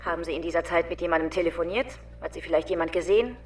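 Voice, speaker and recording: Woman acting stern lady detective, talks fast, lowish voice, businesslike radio play questioning. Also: slight background noise, natural voice. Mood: stern, probing. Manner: probing, businesslike. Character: brisk, stern female police interrogator. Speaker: actor in a radio drama. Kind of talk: naturalistic radio drama dialogue. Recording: slightly distant, faint music.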